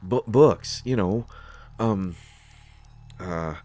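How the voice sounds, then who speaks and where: A nervous, bookish man surviving in a science-fiction world , acted by a man. His low voice moves slowly, stumbling and stammering as he searches for words. It is performed as an intimate solo audio drama. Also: warm tone. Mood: puzzled, awkward. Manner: hesitant, stammering, halting. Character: nervous, bookish male survivor of a sci-fi wasteland. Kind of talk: scripted sci-fi audio roleplay, solo acting.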